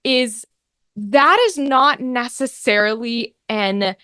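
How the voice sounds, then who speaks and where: A woman speaking as a guest in a casual interview, talking as an actor and game master, animated and given to acting out imagined quotes. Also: a little high, slow, clean tone, no echo, clean studio sound.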